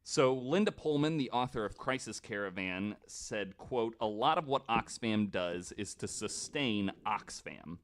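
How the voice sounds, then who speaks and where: A man presenting a researched story on a casual podcast, speaking in a halting way as he explains from his notes. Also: on the low side, animated.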